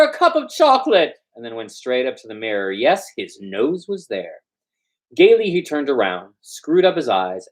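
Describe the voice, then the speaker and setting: Animated male storyteller with lively pitch swings. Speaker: host and reader of a storytime show. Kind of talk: dramatic storytelling read-aloud.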